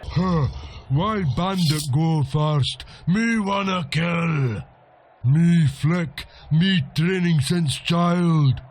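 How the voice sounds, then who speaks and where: A man's voice: a little high, a little mellow. An actor voicing a simple-minded, eager bandit fighter in a video game, talking bluntly in broken caveman grammar. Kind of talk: video game character acting.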